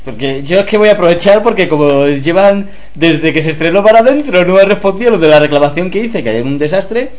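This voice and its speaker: A man, co-hosting a radio show, reading news items quickly and breaking in with joking, sarcastic asides. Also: sits high, expressive, darkish tone. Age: 30s.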